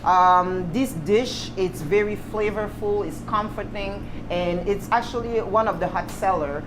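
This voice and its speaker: A woman's voice: medium pitch, unhurried. A woman in her thirties with a Cameroonian accent, presenting to camera as a guest chef, casually and emphatically. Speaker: guest chef and restaurant owner. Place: restaurant kitchen, indoors. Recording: clearly noisy kitchen ambience.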